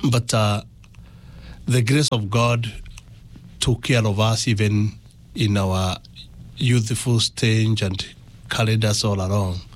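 A man with a Kenyan accent giving a personal testimony as an interview guest, in halting, deliberate phrases. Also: on the low side, steady tone.

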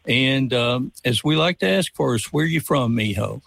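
A man's voice: mid-range voice, animated, normal speed, gravelly, fairly bright tone, no room sound. A man hosting a college radio interview show in a studio, friendly and welcoming.

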